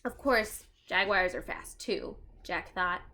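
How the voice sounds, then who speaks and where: A woman doing an expressive storybook read-aloud for children, addressing listeners as friends and explaining as she goes. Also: lowish voice, slow pace, slight background noise.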